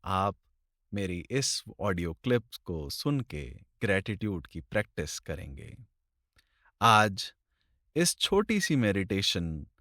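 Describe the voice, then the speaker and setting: A man leading a guided meditation in slow, deliberate phrases with long pauses, recorded close to the mic. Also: lowish voice, fairly bright tone, no room sound.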